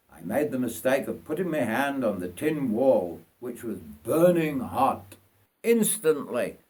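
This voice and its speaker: Older male narrator from northern England: unhurried, husky, animated intonation for dramatic autobiographical storytelling. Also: medium pitch. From North Yorkshire, England. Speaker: writer reading own story.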